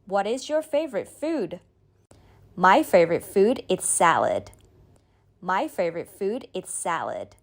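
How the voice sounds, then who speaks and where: A woman reading a beginner's speaking drill, saying each line slowly and clearly, then repeating it. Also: on the low side, big pitch swings. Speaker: model speaker in a language drill. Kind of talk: slow scripted drill reading.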